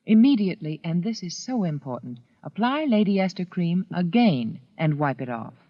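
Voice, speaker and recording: Lively vintage radio spokeswoman. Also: lowish voice, moderate pace. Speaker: advertising spokeswoman. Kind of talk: 1940s radio commercial, scripted pitch. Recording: old muffled radio recording.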